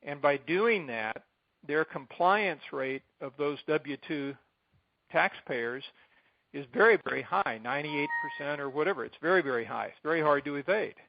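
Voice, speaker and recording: Middle-aged American male, advocacy leader on a muffled conference call, creaky voice, deliberate and emphatic phrasing. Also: a little high, no echo. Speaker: advocacy group president addressing supporters. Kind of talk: informal briefing on a conference call. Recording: dull, band-limited conference call line.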